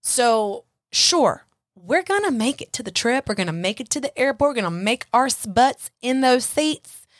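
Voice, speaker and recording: A young woman hosting a solo podcast, chatty and self-mocking, her voice husky and a little hoarse from congestion. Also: on the high side, expressive, moderate pace, no echo, clean studio sound. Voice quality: husky, raspy. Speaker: podcast host.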